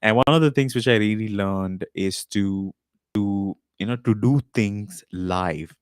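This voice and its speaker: A man's voice: fairly low pitch, a little slow, clear, resonant tone, no echo, clean studio sound. A man hosting a podcast live stream, talking casually and loosely, with frequent fillers and restarts, into a desk mic at a home recording setup. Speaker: podcast host.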